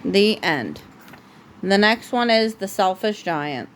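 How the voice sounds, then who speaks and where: A woman's voice: mid-range voice, very animated, takes it slowly. Woman reading aloud over noticeable background noise. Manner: unpolished home reading, stumbling over words and breaking off. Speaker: parent reading a bedtime story to a child. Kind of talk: read-aloud storybook narration with casual asides to a child. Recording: noisy home recording.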